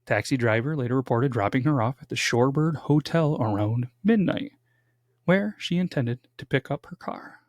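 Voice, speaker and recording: Young male podcast host, mid-pitch, chatty and jokey, dry close studio sound. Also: expressive, moderate pace, dry recording. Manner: chatty, jokey, dramatic build-ups. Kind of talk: casual true-crime podcast chat. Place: home studio.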